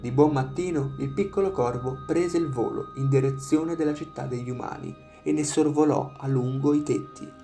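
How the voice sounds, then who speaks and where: A storyteller narrates a written fairy tale in a mid-pitched voice with a gravelly creak. Instrumental music plays underneath throughout. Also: moderate pace, few pauses, mellow.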